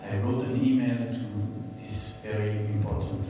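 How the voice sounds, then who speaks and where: A man in his fifties speaks English with a French accent, halting and earnest, with plenty of ums. His voice is low and mellow. It echoes through a large hall and sounds far from the mic. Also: steady tone. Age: 50s. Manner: hesitant, many fillers, earnest. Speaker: moderator. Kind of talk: public stage conversation. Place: large theater stage. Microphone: handheld mic.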